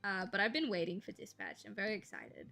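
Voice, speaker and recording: A young woman talks casually to her live-stream audience, rambling and thinking aloud as she goes. Her tone is bright, with a light gravel that shows when she speaks softly. Faint music plays in the background. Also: medium pitch, quick pace. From Australia. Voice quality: slight gravel in the voice when talking quietly. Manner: chatty, rambling, laughs easily, thinks aloud.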